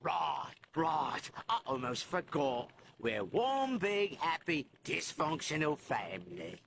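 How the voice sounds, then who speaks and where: An actor playing a sneering, cackling, cowardly mutant sidekick in a game cutscene, sarcastic and mocking. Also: male voice, a little high, very animated, takes it slowly, exaggerated voice. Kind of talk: cartoonish video game cutscene acting. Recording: clear background noise.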